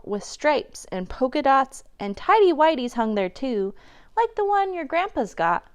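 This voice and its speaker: Clear, high-voiced woman reading a children's story. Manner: expressive, dramatic storytelling. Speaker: library storytime reader. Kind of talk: animated children's rhyming picture-book reading.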